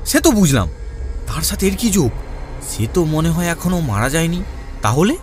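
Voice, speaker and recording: Anxious, curious young villager played by a man, lively swooping delivery, horror cartoon, music behind. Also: a little high, a little fast. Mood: worried. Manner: questioning, excitable. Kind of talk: dramatized cartoon dialogue.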